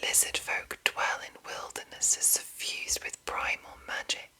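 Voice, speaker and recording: Slow whispering woman, bright and breathy. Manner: slow, deliberate, pausing between short phrases. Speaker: ASMR host reading game monster entries. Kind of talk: whispered ASMR reading.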